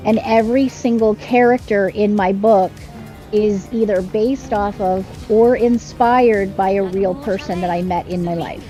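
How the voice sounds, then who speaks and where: A woman explains her views earnestly on a live stream and often restarts her sentences as she thinks. Her pitch sits in an ordinary middle range. Music plays clearly behind her voice. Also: steady tone, a little slow, mellow, rough recording. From Canada. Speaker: author guest. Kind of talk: casual conversation on a live stream.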